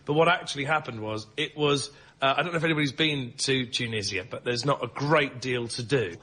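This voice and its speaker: A man's voice: mid-range voice, pushed and bright. A man, a stand-up comedian on a panel show, talking in an emphatic, laboured comic way. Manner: emphatic, deliberately laboured phrasing. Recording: live show with faint background noise.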